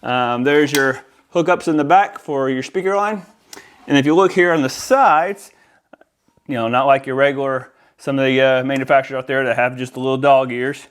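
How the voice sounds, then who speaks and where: A man's voice: mid-range voice, moderate pace, clean tone. An American man giving a casual, explanatory product unboxing and demonstration in an informal, somewhat hesitant way. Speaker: audio-video installer presenting a product. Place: indoors in a house, near a window.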